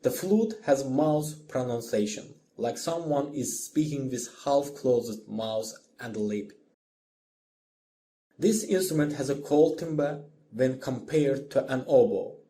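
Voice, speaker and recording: A man narrating a scripted music lesson as an instructor, in a measured, plainly explanatory way. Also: medium pitch, animated, mellow, a little hiss or hum.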